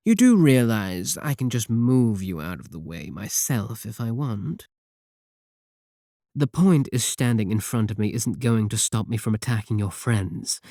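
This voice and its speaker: A man playing a coolly sardonic villain in an audio roleplay, unhurried, condescending and softly threatening. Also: medium pitch, no room sound, clean studio sound. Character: menacing, coolly sardonic male villain of an evil organization. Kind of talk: scripted ASMR audio roleplay.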